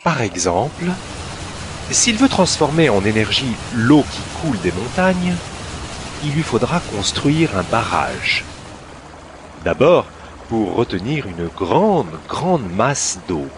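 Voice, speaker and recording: Male narrator for a kids' educational cartoon: slow, deliberate storytelling with highly varied intonation, over clear background noise. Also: sing-song. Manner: storytelling, deliberate with dramatic pauses.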